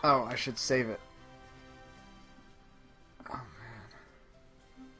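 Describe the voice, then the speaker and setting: A man's voice: mid-range voice, steady tone, extremely slow, pushed and bright, faint music behind. A man hosting a gaming show, playing and chatting casually, hesitant as he thinks aloud. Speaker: host playing a video game. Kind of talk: casual gaming commentary chat.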